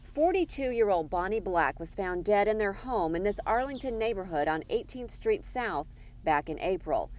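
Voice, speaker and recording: A woman's voice: mid-range voice, expressive, moderate pace. A woman with an American accent narrates a scripted television news package as a reporter. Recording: faint vehicle noise behind.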